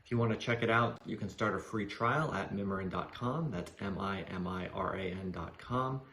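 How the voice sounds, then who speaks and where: A man's voice: low voice, normal speed, a bit bright, a little hiss or hum. A man hosting an interview podcast, speaking in a conversational style. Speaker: podcast host.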